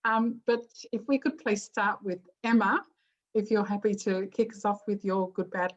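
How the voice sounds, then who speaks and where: A woman's voice: medium pitch, steady tone, normal speed. A woman hosting an online education session, chatty and full of ums and restarts. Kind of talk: casual spoken introduction. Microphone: video call. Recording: muffled video-call audio.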